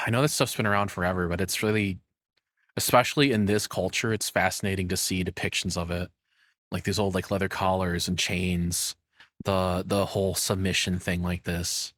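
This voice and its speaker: A man doing casual live reaction commentary as a streamer, with musing, halting asides, close to the microphone. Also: low voice, fairly even intonation, fairly bright tone, dry recording.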